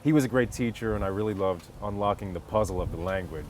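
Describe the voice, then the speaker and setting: A man's voice: lowish voice, fast, a little mellow. An American man from Connecticut telling stories as a stage actor in a casual outdoor interview. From Connecticut, United States. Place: outdoors on a golf course. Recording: clear outdoor background noise, vehicles.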